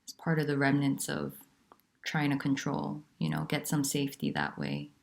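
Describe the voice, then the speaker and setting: Low creaky-voiced woman sharing softly. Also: monotone, normal speed. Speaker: nonduality teacher. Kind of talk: reflective spiritual talk.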